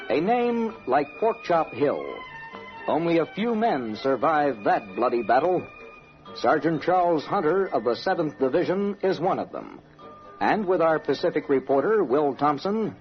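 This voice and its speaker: A man delivers scripted radio documentary narration in a solemn, dramatic way. His voice sits a little high and moves widely in pitch. The sound is a muffled vintage broadcast with music behind it. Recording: dull old radio recording.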